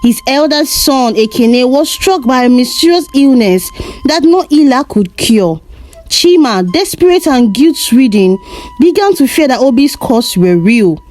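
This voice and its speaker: Female storyteller for scripted folktale narration, measured and solemn, higher register with animated intonation.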